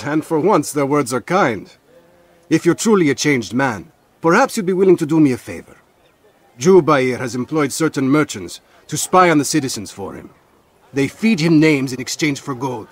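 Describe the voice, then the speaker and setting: An actor playing an earnest male assassin informant in a video game, speaking in a confiding, deliberate way. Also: fairly high pitch, husky. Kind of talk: video game cutscene acting.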